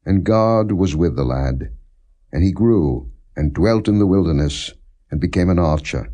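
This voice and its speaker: A man reading scripture as solemn, non-dramatized audiobook narration, in a measured, formal manner with deliberate phrasing. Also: sits low, animated, dark tone. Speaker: scripture reader.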